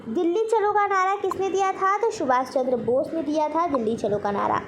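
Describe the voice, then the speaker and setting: A woman teacher with an extremely high voice and an Indian accent runs through a quiz lesson for students. She poses questions and answers them herself, repeating key points. There is some faint noise in the recording. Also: fairly quick. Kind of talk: general knowledge quiz lesson for exam students.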